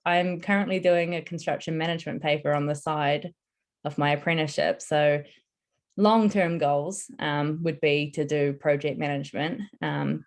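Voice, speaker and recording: Deep-voiced young New woman. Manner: enthusiastic, earnest. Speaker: interviewee, construction apprentice. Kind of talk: casual interview answers.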